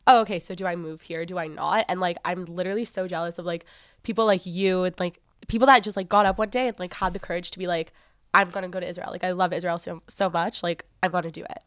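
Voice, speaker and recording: A woman's voice: on the low side, steady tone, quick pace, a bit bright, no room sound. A young woman interviewer, a university student, talking in a chatty way with lots of likes. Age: young adult. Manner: chatty, heavy use of like. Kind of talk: casual interview.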